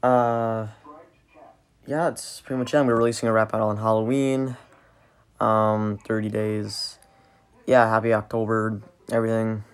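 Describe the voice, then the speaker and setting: A man's voice: on the low side, level tone, moderate pace, pauses often, clear, resonant tone. A man hosting a reaction video, chatting casually and repeating lyrics back under his breath.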